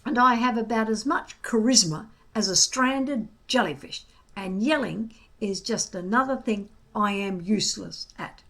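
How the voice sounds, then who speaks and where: A woman's voice: mid-range voice, a little slow, a bit bright. A woman voicing a gloomy, unheroic young Viking boy in a children's storybook, complaining in a plaintive, emphatic way.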